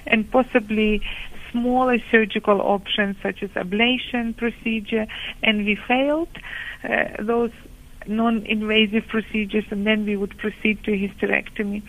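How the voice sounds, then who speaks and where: A woman from Ukraine with a Ukrainian accent, a gynecologist explaining her work in a measured, hesitant way as a guest on a radio phone-in. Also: mid-range voice, little pitch movement. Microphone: telephone. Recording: tinny phone line.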